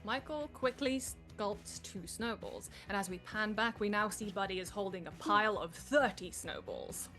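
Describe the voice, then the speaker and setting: Woman narrator reading script directions, medium pitch, light music underneath. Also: moderate pace, hardly any pauses, fairly bright tone. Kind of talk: scripted narration at a comedic live table read.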